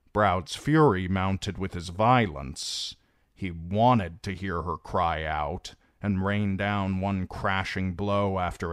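A man narrates a novel chapter in a very deep voice. His reading is slow and measured, a steady storyteller's delivery. The recording is close and dry, with no room sound. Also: smooth, no echo. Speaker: audiobook reader.

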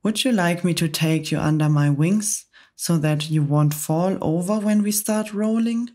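Gentle motherly ladybird lady from a storybook, a woman reading her, soft and unhurried. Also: fairly low pitch. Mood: reassuring. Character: kind gentle female ladybird.

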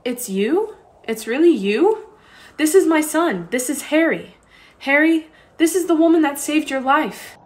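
A woman playing a high-voiced, anguished young mother. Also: lively intonation, a little slow. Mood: astonished, moved. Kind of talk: student radio play acting.

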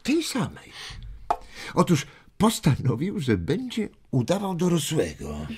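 A man playing an amused old grandfather telling stories to children in an audio drama, unhurried, chuckling and expressive. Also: on the high side, a little hiss or hum. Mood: amused storytelling. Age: older. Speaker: storyteller.

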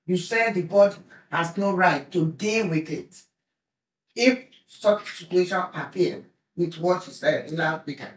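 A woman at a public meeting with a big voice, speaking haltingly as she searches for words. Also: fairly low pitch. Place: community room at a board meeting, seated. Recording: echoey room, far from the mic.

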